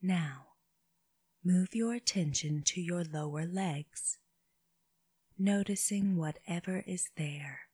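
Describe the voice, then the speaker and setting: Very slow, breathy woman guiding meditation. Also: lowish voice, dry recording. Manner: gentle, unhurried, short phrases with long pauses. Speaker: meditation guide for children. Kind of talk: slow guided body scan meditation.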